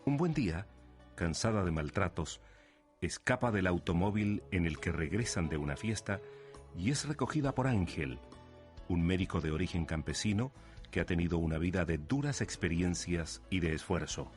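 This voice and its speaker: A man's voice: low voice, expressive, moderate pace, a little mellow. A man narrating a scripted romantic radio drama as a solemn storyteller. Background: music underneath.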